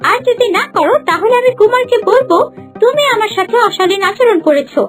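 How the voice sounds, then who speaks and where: A woman's voice: extremely high pitch, animated, fairly quick, soft background music. A woman playing a haughty, scheming young princess bride in a fairy-tale cartoon, with an imperious manner.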